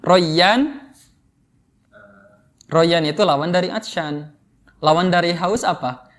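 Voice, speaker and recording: A man's voice: a little high, slow pace, a bit bright. A man with an Indonesian accent teaching Arabic grammar informally, explaining and prompting students with questions. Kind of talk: interactive lesson, explaining and quizzing students.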